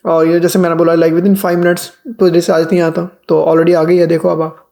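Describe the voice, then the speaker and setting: Mellow-voiced Indian man, casual commentator. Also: a little high, level tone, normal speed, creaky. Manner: code-switches between Hindi and English. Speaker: vlogger, channel host. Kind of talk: casual news commentary to camera.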